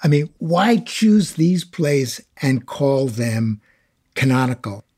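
A man's voice: mid-range voice, sing-song, slow pace, warm tone, slightly rough. A man with an American accent talking reflectively as a scholar being interviewed. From United States. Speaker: scholar guest.

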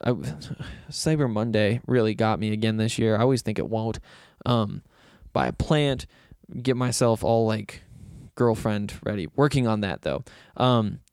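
Slightly raspy young man, dry close sound. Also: fairly low pitch, unhurried, darkish tone, no room sound. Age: young adult. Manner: loose, self-deprecating, meandering with fillers. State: hoarse from yelling. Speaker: sports podcast host. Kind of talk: rambling casual podcast chat.

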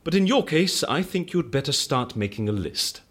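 Eccentric case handler, audio drama. Husky, swooping delivery, quaint turns of phrase, drily businesslike. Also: male voice, fairly high pitch, very animated, moderate pace, a bit bright, heavily acted. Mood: curious, businesslike. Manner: quaint colourful exclamations, dry businesslike asides. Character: quirky male case handler at a disappearance agency.

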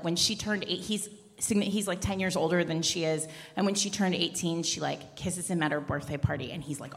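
A woman with a rather low, gravelly, creaky voice recommends books in casual conversation. She is speaking on a live podcast panel, and the hall adds long reverb. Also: fairly bright tone. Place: large room with a live audience, indoors.

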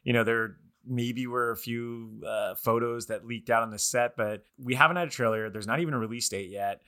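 Bright, forceful-voiced man hosting a chat. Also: mid-range voice, normal speed. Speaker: podcast host. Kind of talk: casual pop-culture discussion.

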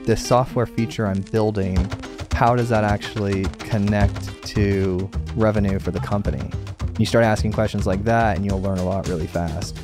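A man's voice: lowish voice, fairly even intonation, normal speed, music in the background. A man in his thirties telling stories casually as a guest in an interview. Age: 30s. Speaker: podcast guest, tech entrepreneur.

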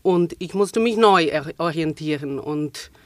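A middle-aged woman with a Swiss German accent, a guest in a relaxed interview, talking with many fillers and restarts. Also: low voice, unhurried, no room sound. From Switzerland. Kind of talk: casual interview conversation.

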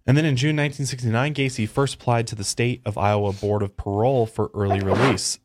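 A man co-hosting a casual true-crime podcast, reading case facts aloud in a relaxed way with fillers and asides. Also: medium pitch, fast talker. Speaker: podcast co-host. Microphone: studio podcast mic.

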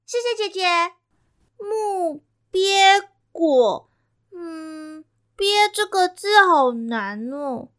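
Very high-pitched little schoolgirl. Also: animated, very slow, fairly bright tone, heavily acted. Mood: careful reading aloud. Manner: childlike, chatty, eager. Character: bright, eager, proud fourth-grade schoolgirl. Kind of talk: amateur radio-drama acting.

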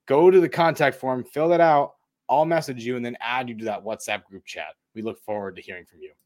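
A man's voice: medium pitch, a little fast, a little mellow. A man hosts a podcast with a mid-range voice. He introduces and chats in a casual, friendly manner, as if among friends. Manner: friendly, informal. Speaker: podcast host.

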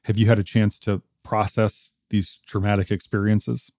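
Guy interviewer, low mellow voice, dry close sound, sober questions. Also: flat delivery, moderate pace, dry recording.

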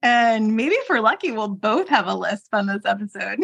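A woman's voice: mid-range voice, sing-song, moderate pace, creaky, fairly bright tone, breathy edge. A woman co-hosting a podcast in a casual chat, talking freely with fillers, restarts and frequent laughs. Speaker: podcast co-host.

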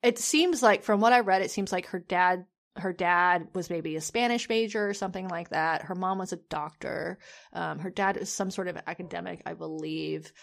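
A woman's voice: on the low side, normal speed, vocal fry. A woman co-hosting a podcast in casual chat, rambling with many fillers and restarts. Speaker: podcast co-host.

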